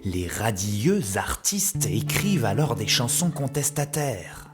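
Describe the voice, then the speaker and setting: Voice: mid-range voice, big pitch swings, slow pace. A narrator telling a children's cartoon story in a theatrical, mock-epic way. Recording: loud guitar music behind the voice. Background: plucked guitar music.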